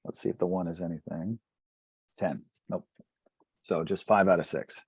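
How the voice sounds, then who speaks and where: A man's voice: sits low, steady tone, normal speed, slightly rough, dry recording. A man chatting casually as a player in a tabletop role-playing game.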